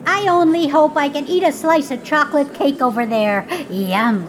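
A woman playing a small, impulsive, childlike fairy in a fairytale audio drama, excitable and impatient. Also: sits high, moderate pace, natural voice. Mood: greedy delight. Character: small impulsive, childlike flying fairy who loves cake. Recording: music behind the voice.

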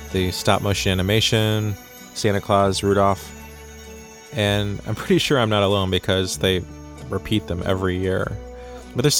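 A man hosting an audio drama podcast with a slightly low voice, easygoing and conversational. Light guitar music plays under his talk. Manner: relaxed, conversational, with light hesitations. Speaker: podcast host. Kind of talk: chatty intro and ad reads.

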